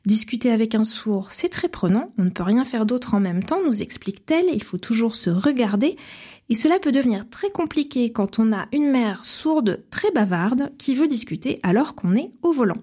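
Soft husky radio host, a woman. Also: on the high side, lively intonation. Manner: measured, reads in short phrases. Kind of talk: scripted radio book review.

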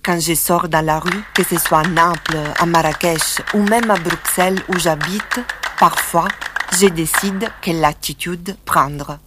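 A woman from southern Italy, narrating a documentary in a calm, reflective tone. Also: fairly low pitch, a little slow, heavy background noise. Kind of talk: scripted narration.

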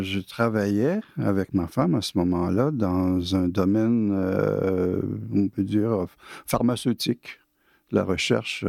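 An older man in his sixties speaks with a Québécois French accent, relaxed and unscripted. His voice sits a little low and has a gravelly edge, and he often pauses or restarts his sentences. The recording is close and dry, with no room sound. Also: no room sound. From Quebec, Canada. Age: 60s. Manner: hesitant, many fillers and restarts. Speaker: interviewee. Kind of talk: casual personal storytelling.

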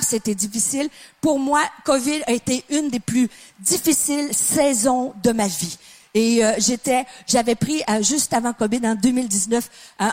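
A middle-aged woman from Canada with a Québécois French accent, preaching a sermon with passionate emphasis, building to exclamations. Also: fairly high pitch.

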